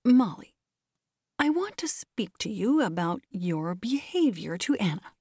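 A woman narrator acts out a large, faint-hearted storybook mistress who is reluctantly stern. The voice is mellow and hesitant, straining to be firm, in a dry recording. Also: mid-range voice, big pitch swings, unhurried, slightly rough, no echo. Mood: trying sternness. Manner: hesitant, groaning, trying to be firm.

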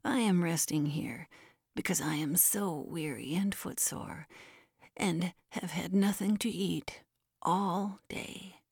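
A woman voicing a male demon disguised as a poor pilgrim, speaking slowly in a hushed, whispery voice to sound gentle. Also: fairly low pitch, gravelly, slightly rough, dry recording, studio quality, full character voice. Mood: feigned weariness. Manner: harsh voice made soft and whispery.

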